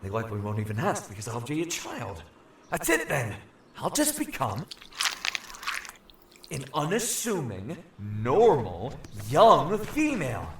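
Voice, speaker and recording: An actor playing an earnest, wary young shapeshifting creature in an audio drama, thinking aloud in a naive, formal way. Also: male voice, mid-range voice, wildly swooping pitch, measured pace, echoey room, far from the mic, background noise. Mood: scheming, relieved. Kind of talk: audio drama acting with inner-thought narration.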